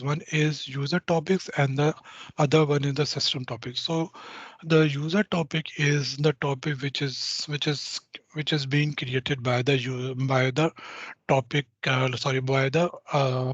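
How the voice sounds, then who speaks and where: Guy explaining software stuff, husky voice, lots of uh and restarts, dull lo-fi call audio. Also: medium pitch, steady tone, no room sound. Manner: halting, full of restarts and "uh" fillers. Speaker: user group presenter. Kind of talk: technical software walkthrough.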